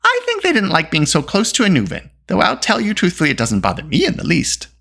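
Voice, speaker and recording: A storybook reader voicing a spirited young princess who is brisk, indignant and talkative. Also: mid-range voice, huge pitch swings, gravelly, a bit bright, slightly rough, voice pushed up, heavily acted. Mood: defiant, chattering.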